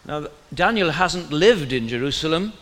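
Welsh male preacher, higher than usual, conversational sermon, hall echo. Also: animated, moderate pace, fairly bright tone. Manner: conversational, asks the listeners questions.

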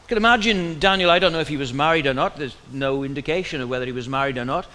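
Welsh preacher in a reverberant hall. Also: male voice, fairly high pitch, rapid-fire, sharp tone. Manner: conversational, asks the listeners questions. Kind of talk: sermon.

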